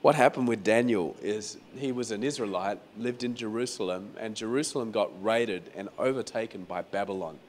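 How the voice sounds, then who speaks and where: A man preaching a sermon as pastor in a croaky, hoarse voice, talking conversationally and repeating phrases for emphasis. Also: on the low side, moderate pace, hardly any pauses, a little hiss or hum. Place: venue hall during a church service.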